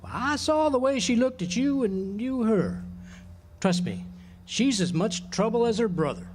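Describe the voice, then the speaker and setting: An actor playing a wordy, pompous jailed drifter in a live radio drama, with florid, theatrical delivery. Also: male voice, very high-pitched, very animated, unhurried, heavily acted. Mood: knowing warning. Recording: noisy live amphitheater stage recording.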